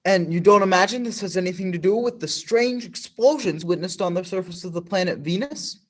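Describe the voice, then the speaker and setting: A man's voice: high voice, a little fast. A man playing a stammering on-scene news reporter. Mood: flustered. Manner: stammering, formal newsreader phrasing. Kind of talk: stage radio play acting.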